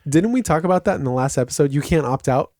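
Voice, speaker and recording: A man's voice: a little high, fast talker, a little mellow, dry recording, clean studio sound. A man co-hosting a podcast in casual chat, often questioning and loosely spoken. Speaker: podcast co-host.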